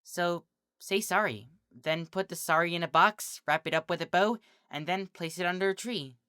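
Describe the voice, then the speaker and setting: A woman voicing an excitable, party-loving pink pony mare who gushes and bounces through her words. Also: fairly low pitch, moderate pace, clean tone, no room sound, studio quality, pitched above the performer's own voice. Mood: gentle.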